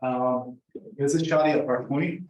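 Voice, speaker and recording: A man's voice: medium pitch, fairly even intonation, darkish tone, slight background noise. Guy w palestinian arabic accent doing an informal lecture, stop and start, far from the mic, super echoey. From Gaza, Palestine. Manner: conversational, halting, frequently checks in with "right?" and "like". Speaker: professor, invited speaker. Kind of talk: informal academic presentation. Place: indoor lecture room. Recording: very echoey room, distant muffled mic.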